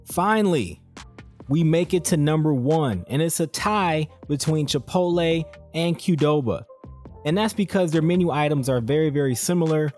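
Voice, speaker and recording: A man reads a scripted countdown as voice-over for his own fitness channel. He sounds upbeat and direct and keeps speaking straight to the viewer. His voice sits a little high, and soft music plays under it. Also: moderate pace. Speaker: fitness and keto channel host. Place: voice-over over slides, not recorded on screen.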